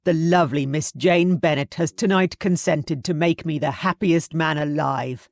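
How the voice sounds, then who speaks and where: A woman voicing a jovial young gentleman addressing his guests with proud cheer. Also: sits low, moderate pace, dry recording, clean studio sound.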